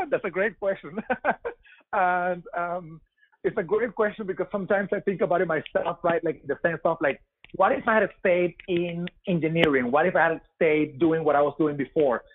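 A Venezuelan man in his forties with a Venezuelan Spanish accent and a fairly high voice. He chats casually as an interview guest, restarting words and leaning on "you know". The sound is dry and thin, like a phone line on a web call. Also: normal speed, no room sound. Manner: repeats words, many "you know" fillers, laughs easily. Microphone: over a web call.

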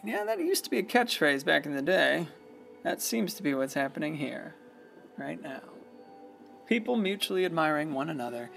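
A man's voice: on the high side, vocal fry, bright, forceful tone, full character voice. A man acting as a folksy small-town narrator in a radio play, musing in a conversational, philosophical way. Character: folksy small-town storyteller, reflective and fond of his town.

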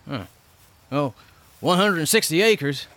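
An actor playing a wary, rustic settler from 1880s Arkansas in a radio drama, with a folksy, halting Southern American accent. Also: male voice, on the high side, animated, a bit bright. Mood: wary, awkward.

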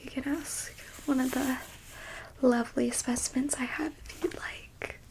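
A woman playing a haughty, curious alien examiner in a whispered ASMR roleplay, musing slowly and clinically with blunt asides. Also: high-pitched, steady tone, poor audio. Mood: clinically intrigued. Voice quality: soft, breathy whisper. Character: curious, easily disgusted, haughty alien abductor examining a human.

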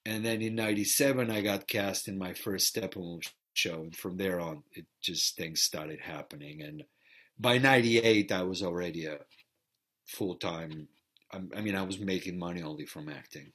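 A man's voice: sits low, normal speed, fairly bright tone, husky. A middle-aged man from Bulgaria, an actor and theater teacher giving a reflective interview, often repeating words as he restarts. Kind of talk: interview conversation.

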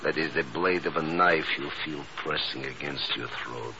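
An actor playing a menacing Egyptian knifeman in a 1950s radio drama, speaking in slow, formal, ominous threats. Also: very deep voice, creaky. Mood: cold menace. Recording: noisy, muffled old radio recording.

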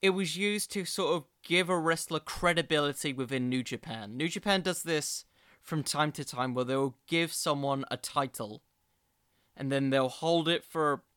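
A man's voice: fairly high pitch, moderate pace, fairly bright tone, clean tone, no echo, clean studio sound. A man hosting a solo podcast, giving casual, opinionated commentary in an informal, digressive way. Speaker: podcast host.

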